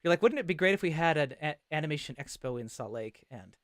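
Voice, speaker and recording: A man's voice: a little high, expressive, quick pace, dry recording, studio quality. A man hosting a casual interview livecast, talking in an enthusiastic, affirming way. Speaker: host and interviewer.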